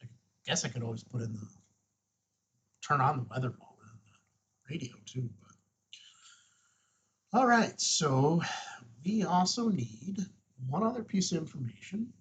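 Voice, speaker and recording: A man hosting an unscripted, casual software walkthrough, thinking aloud and murmuring to himself as he works. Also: a little high, sing-song, unhurried, pauses often, dull audio. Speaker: host of a radio tutorial.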